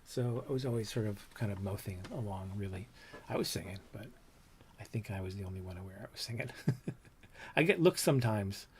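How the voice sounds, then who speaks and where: A man in his fifties with a low, soft voice, reminiscing casually on a livestream about his old art, with many ums and trailing thoughts. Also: expressive, a little fast, a little hiss or hum. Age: 50s. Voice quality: low, soft voice that doesn't project. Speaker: comics artist showing his old work.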